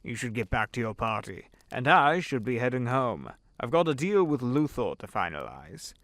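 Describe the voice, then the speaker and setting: A man's voice: mid-range voice, very animated, moderate pace, fairly bright tone, no echo, studio quality. A man doing a pompous, avuncular Devil in disguise. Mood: breezy. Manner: pompous, avuncular, theatrical bluster. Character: the Devil posing as a genial older businessman. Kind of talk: fan audio drama acting.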